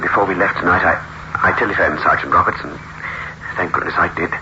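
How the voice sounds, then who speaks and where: A man's voice: low-pitched, steady tone, quick pace. An actor playing a stern, determined man in an old radio drama, speaking and narrating with dramatic insistence. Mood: shaken relief. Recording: very noisy, muffled old radio recording.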